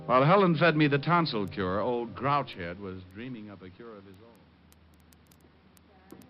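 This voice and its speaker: An actor playing a wisecracking, song-loving private detective in an old-time American radio drama, breezy and wry. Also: male voice, medium pitch, lively intonation, a little slow, close to an everyday voice. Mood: wry narration. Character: wisecracking male private detective who loves to sing. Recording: muffled old radio broadcast with music.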